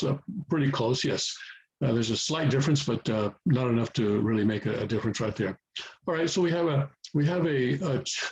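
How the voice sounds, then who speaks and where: A man's voice: medium pitch, a little mellow, slight background noise, low-quality recording. A man giving an informal, improvised beginner language lesson, patient and step by step, often searching for words and repeating himself for emphasis. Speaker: teacher explaining Korean writing to beginners.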